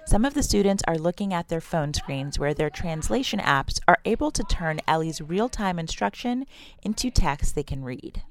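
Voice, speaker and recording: A woman reporter calmly reading scripted documentary narration. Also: lowish voice, normal speed.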